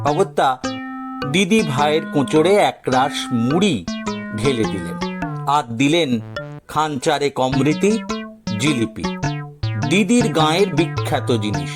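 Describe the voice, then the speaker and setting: A man narrating a scripted audio story dramatically, with theatrical, suspenseful pauses. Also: on the high side, big pitch swings, over loud music.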